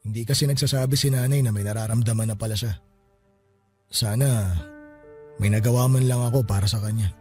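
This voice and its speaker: An actor playing a grieving, guarded and easily frightened son in a Filipino radio drama, speaking colloquially with emotion. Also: male voice, medium pitch, steady tone, brisk, long pauses, dark tone, close to an everyday voice. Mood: sorrowful. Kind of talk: Tagalog radio drama acting. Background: background music.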